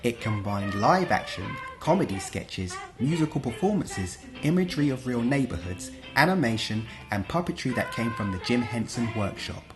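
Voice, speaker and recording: A man's voice: medium pitch, big pitch swings, moderate pace, hardly any pauses, over music. A man narrating a scripted list video as a presenter.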